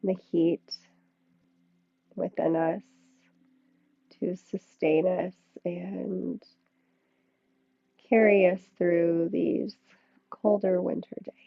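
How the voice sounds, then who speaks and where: Slow-spoken woman yoga teacher, thin phone-like sound. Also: fairly low pitch, little pitch movement, gravelly, husky, no room sound. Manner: soothing, unhurried, with long pauses between short phrases. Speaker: yoga teacher leading a class. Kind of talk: slow guided yoga and meditation instruction. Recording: thin, phone-like band-limited sound, dry.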